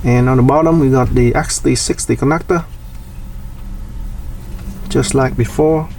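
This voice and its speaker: A man's voice: mid-range voice, unhurried, gravelly, a little mellow. A man narrates a hands-on how-to in a casual, plain way, going step by step. He sounds a little away from the microphone, with faint noise in the recording. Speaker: DIY tutorial host. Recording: distant mic, background noise.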